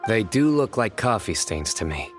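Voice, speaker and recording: An actor voicing a stern young male judge in a game, calm and deductive in a measured way. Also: fairly low pitch, soft background music.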